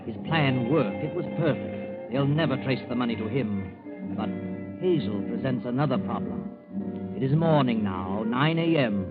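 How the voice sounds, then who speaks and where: A man's voice: medium pitch, expressive, normal speed, lightly acted. An actor playing a grave male narrator, telling a suspense tale in dramatic 1940s radio style. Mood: grave, suspenseful. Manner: dramatic, ominous present-tense storytelling. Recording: muffled 1940s radio recording, loud music behind.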